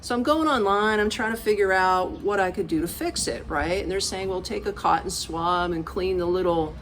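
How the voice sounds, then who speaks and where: An American woman vlogging casually, telling stories in an animated, colloquial way with direct asides to the viewer. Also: mid-range voice, moderate pace, slight background noise. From United States. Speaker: expat vlogger. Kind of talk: casual chat to camera.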